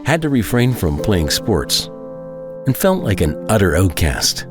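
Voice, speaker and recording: A low, expressive small-town dad tells his story in an audio drama. He is plainspoken, wry and a bit apprehensive, conversational over prominent music. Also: unhurried. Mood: earnest narration. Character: plainspoken, apprehensive Kansas farm-town husband and father. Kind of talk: first-person audio drama narration and dialogue.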